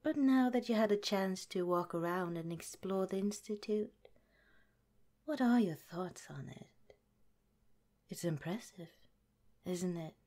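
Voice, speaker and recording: Lady doing a gentle whispery lab director, slow and mellow, kind and calm. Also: mid-range voice, lightly acted. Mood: gentle, reassuring. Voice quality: soft whispery delivery. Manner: gentle, polite, reassuring. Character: calm caring woman scientist director. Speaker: ASMR roleplay performer. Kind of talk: ASMR audio roleplay.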